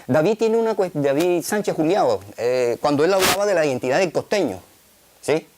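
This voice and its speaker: Rambling Caribbean Colombian man, lively pitch. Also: a little fast, background noise. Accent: Colombian Caribbean Spanish. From Colombian Caribbean coast. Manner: rambling, many fillers and tag questions. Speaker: interviewee. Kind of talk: documentary interview.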